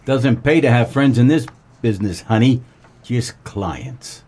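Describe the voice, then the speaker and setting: A man playing a wisecracking, deadpan 1940s private detective in a comedic noir radio play, with terse, slangy tough-guy delivery and a husky voice. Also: medium pitch, a little slow, lightly acted. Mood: cool, wry. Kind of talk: comedic noir radio play, first-person narration and dialogue.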